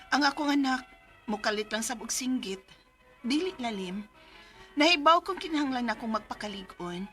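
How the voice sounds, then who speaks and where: A plaintive radio drama mother, worn down by fear and trauma. Her voice is bright and forceful, sitting fairly high. Music plays underneath as she speaks with raw emotion. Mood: troubled, sorrowful. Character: frightened, trauma-shaken working mother and breadwinner.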